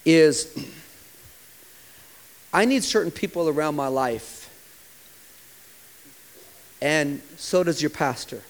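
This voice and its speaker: A man's voice: fairly high pitch, lively intonation, slow, pauses often, rough recording. Impassioned pastor in his forties. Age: 40s. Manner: impassioned preaching, sometimes dropping to a quiet, hushed delivery. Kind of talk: sermon.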